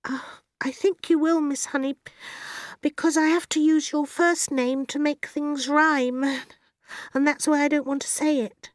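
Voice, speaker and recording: A woman voicing a bright, earnest five-year-old schoolgirl in a storybook, shy, polite and careful with her words. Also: sits high, fairly even intonation, measured pace, dry recording, studio quality, pitched above the performer's own voice. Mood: hesitant, shy.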